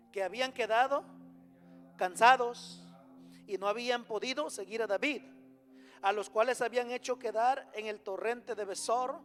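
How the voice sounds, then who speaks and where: A man's voice: extremely high pitch, fairly bright tone. A man with a Latin American Spanish accent preaching a sermon emphatically, often putting rhetorical questions to his congregation.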